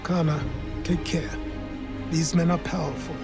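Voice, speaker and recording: An aged mentor with a notably high voice. He is fussy and kindly, choosing long, learned phrases with dry delivery, as in a video game drama. Soft music sits behind him. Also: level tone, measured pace, creaky. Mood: cautioning. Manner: wordy, erudite, dry.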